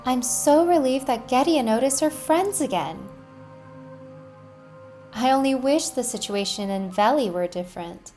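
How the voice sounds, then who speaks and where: Woman acting a slow, caring villager. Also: high-pitched, animated, darkish tone. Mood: wistful, resolute. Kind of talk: fan dub voice acting. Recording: music under the voice.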